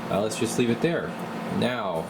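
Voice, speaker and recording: A man's voice: on the low side, animated, measured pace, very noisy. A man talking as a repair technician, walking viewers through a hands-on job in an informal, rambling way. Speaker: radio repair technician and video host. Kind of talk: casual how-to demonstration.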